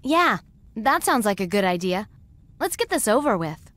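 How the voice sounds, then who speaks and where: A woman's voice: medium pitch, sing-song, moderate pace, a bit bright. A woman voicing a sensible young woman in a video game, direct and questioning. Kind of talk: video game cutscene voice acting.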